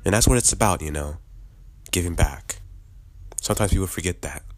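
A man hosting a casual podcast outro, upbeat and chatty, laughing often, a little sick so his voice sits slightly lower. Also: a little fast, a little hiss or hum. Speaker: podcast host.

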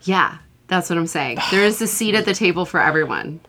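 Animated woman telling stories, quick to laugh. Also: on the low side. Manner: animated, laughs often, acts out quotes. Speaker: podcast co-host. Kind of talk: casual chat and storytelling.

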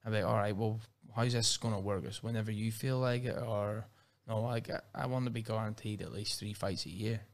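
A man's voice: on the low side, steady tone, a little fast, gravelly. A man with a Northern Irish accent, a mixed martial arts fighter talking casually in an interview, rambling with frequent restarts and fillers. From Northern Ireland. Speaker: MMA fighter and guest.

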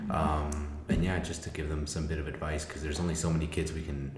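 A man from Mexico co-hosting a casual podcast as a youth mentor, relaxed and quick to laugh. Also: extremely low pitch, reverberant, slight background noise.

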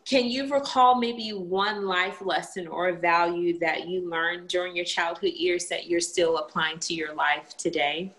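Woman interviewer with a lowish, enthusiastic voice. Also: steady tone, unhurried, few pauses, a bit bright, poor audio. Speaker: podcast host interviewing guest. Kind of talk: casual interview.

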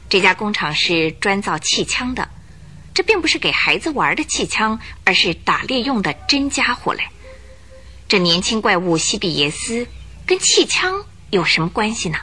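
A woman narrating a radio detective drama in a lively storytelling manner. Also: medium pitch, breathy edge. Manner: lively storytelling, rising for suspense.

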